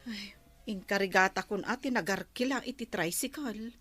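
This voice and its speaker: An actress with an Ilocano Filipino accent playing an exasperated wife who nags about money in a comedy drama. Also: female voice, mid-range voice, normal speed, pushed and bright, dry recording. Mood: grumbling. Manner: complaining, exasperated. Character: nagging exasperated wife fretting over household spending.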